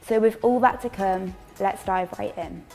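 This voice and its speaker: A woman host reads a scripted welcome in a mid-pitched voice. Soft music runs underneath. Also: moderate pace. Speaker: event host.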